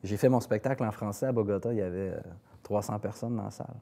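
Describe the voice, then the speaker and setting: A man with a Quebec French accent chats in an interview in a dark, relaxed voice that sits a little low. He tells things in anecdotes, circling back on words as he looks for the right phrase. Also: quick pace, gravelly. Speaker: singer-songwriter being interviewed. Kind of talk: casual interview conversation.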